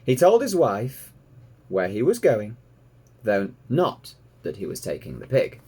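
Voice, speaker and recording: A man's voice: on the low side, warm tone, slightly rough. A man reading a children's story aloud expressively, like a teacher to pupils.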